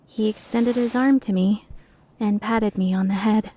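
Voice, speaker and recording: A woman playing an earnest, timid, tender-hearted young woman in a dubbed visual novel, speaking in a measured way. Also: medium pitch, fairly even intonation, mellow, breathy edge. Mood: moved. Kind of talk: dubbed visual novel acting with inner narration.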